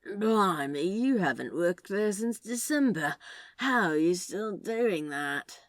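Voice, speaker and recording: A woman's voice: mid-range voice, expressive, slow, no room sound, studio quality. A woman voicing a cool, flirty man who talks in a casual, teasing, clipped way in a read-aloud romance story. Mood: sleepy, fond.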